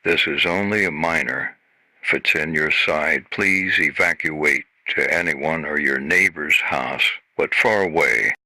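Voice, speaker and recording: A man playing a blunt emergency announcer and call operator in an amateur alert scenario, giving halting, clipped instructions. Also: rumbling low, gravelly, bright, forceful tone, dry recording, heavily acted, low-quality recording. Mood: official warning.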